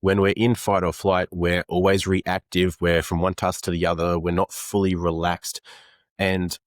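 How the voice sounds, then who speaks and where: A man's voice: low voice, little pitch movement, normal speed, no echo, clean studio sound. An Australian man, a men's coach, explaining ideas earnestly in an interview, with many fillers. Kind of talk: conversational interview.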